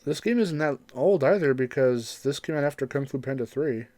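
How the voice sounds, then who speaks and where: Mid-pitched podcaster in dry, close sound. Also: lively intonation, a little fast, darkish tone, dry recording. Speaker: podcast co-host. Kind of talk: casual chat about video games.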